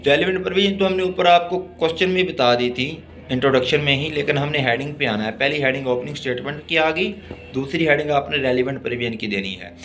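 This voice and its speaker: A man with a Pakistani accent lecturing on law, deliberately reading out text and explaining it in a teacherly way. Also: fairly high pitch, fairly bright tone. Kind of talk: exam-oriented law lesson, reading English text and explaining it.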